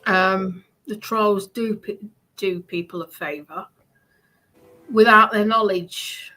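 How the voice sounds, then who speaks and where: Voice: medium pitch, fairly even intonation, takes it slowly, bright, forceful tone, rough recording. A person chatting casually, giving short, hesitant, supportive replies.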